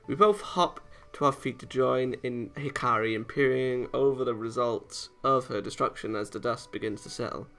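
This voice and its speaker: Man, visual novel read-aloud, theatrical delivery, gravelly fry, occasional stumbles, music behind. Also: medium pitch, normal speed, hardly any pauses, pushed and bright. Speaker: let's-play host reading a visual novel aloud. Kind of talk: expressive read-aloud narration of a game.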